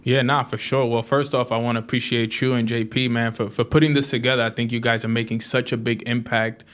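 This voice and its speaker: A man tells his story as a podcast guest. He talks quickly in an earnest, slangy way, with a fairly flat, mid-range pitch. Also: clear, resonant tone. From Washington Heights, New York City. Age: early 30s. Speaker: podcast guest, former athlete. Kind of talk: personal storytelling in casual chat.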